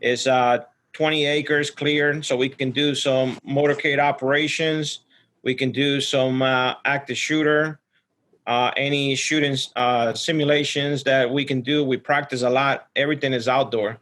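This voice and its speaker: A man's voice: medium pitch, steady tone, a little slow, a bit bright. A man from Ecuador talks in a mid-range voice as a guest on a podcast. He is earnest and plainspoken, keeping a casual conversational style. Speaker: podcast guest, security professional. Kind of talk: casual interview chat.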